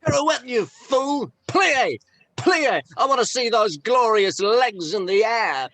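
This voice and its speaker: A man's voice: extremely high pitch, animated, slow, fairly bright tone, no room sound, full character voice. A man playing a brash, theatrical, exclamatory character, an old pirate type, in a comedy script read-through. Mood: bossy.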